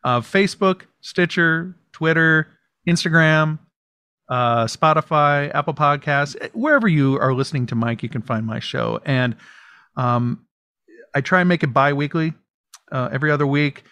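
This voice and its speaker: A man's voice: on the high side, animated, moderate pace, bright, forceful tone, clear, resonant tone, no echo. Rambling man, dry close sound. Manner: rambling, many restarts and fillers. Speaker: podcast guest, host of his own show. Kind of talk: casual interview chat.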